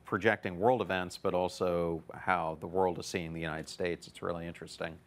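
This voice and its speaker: A man's voice: sits low, flat delivery, fairly quick. A man in his fifties hosting an interview, earnestly setting up his questions with context. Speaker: interview host.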